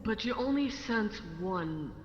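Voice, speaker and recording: A game master narrating an online role-play in a halting, deliberate way. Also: mid-range voice, animated. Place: online VRChat voice session. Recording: dull, echoey, reverberant, noisy audio.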